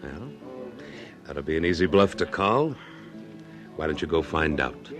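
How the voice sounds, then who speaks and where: An actor playing a tough, independent cattleman in a radio western, laconic and deadpan. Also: extremely low pitch, unhurried, over music. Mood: dry, firm. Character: tough, dry, independent cattleman of the Old West. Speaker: lead actor and narrator. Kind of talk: 1950s radio western acting. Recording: vintage radio drama recording.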